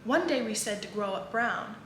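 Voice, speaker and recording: A woman reading children's picture books and poems aloud in an expressive, sing-song way. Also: medium pitch, moderate pace. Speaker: children's book reader. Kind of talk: read-aloud storytelling for children. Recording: echoey room with clear background noise.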